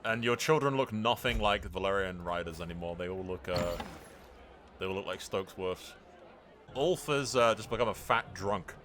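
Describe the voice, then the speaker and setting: Bright-voiced, low-pitched man swearing through game commentary. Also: normal speed, a little hiss or hum. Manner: irreverent, frequent swearing. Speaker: host of a gaming roleplay playthrough. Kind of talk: casual game commentary with roleplay.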